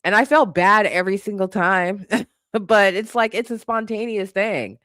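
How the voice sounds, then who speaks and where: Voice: mid-range voice, moderate pace, bright, forceful tone, dry recording. Sassy, opinionated streamer in a dry, close room. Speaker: livestream host chatting with viewers. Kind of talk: casual unscripted chat, reacting to comments.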